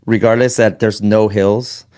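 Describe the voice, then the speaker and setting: A man hosting a running podcast, chatting casually and rambling with many halting restarts. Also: lowish voice, flat delivery, darkish tone, clear, resonant tone. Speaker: podcast host. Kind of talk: casual interview chat.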